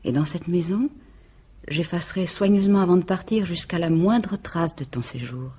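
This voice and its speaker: A woman's voice: fairly low pitch, moderate pace, mellow, breathy edge. An actress playing a bitter, jealous woman bent on revenge, theatrical and sardonic, in 1950s French radio drama style. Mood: cold, menacing. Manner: theatrical, sardonic, menacing monologue. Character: bitter, jealous, vengeful scorned woman turned cold killer.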